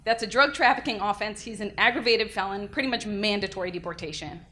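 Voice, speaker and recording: An energetic young woman lectures at a legal workshop, speaking loudly and briskly into a microphone. Her delivery is lively and direct, with a mid-range voice in a conference room. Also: mid-range voice. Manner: energetic, lively, self-described loud. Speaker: defense attorney giving a workshop talk. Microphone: handheld or podium mic.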